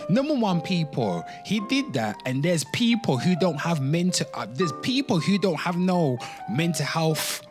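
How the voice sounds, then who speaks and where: A man's voice: on the high side, very animated, unhurried, hardly any pauses, over music. A man hosting an online show, mixing casual, rambling, slangy commentary with dramatic reading aloud and repeating phrases for emphasis. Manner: slangy, frequently swearing, addressing listeners as people.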